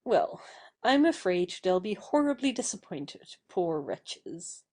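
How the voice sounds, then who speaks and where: A woman playing a composed, kindly and teasing young lady in an audio stage play, measured and gently reproving. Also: on the low side, big pitch swings, full character voice. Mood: wry, guarded.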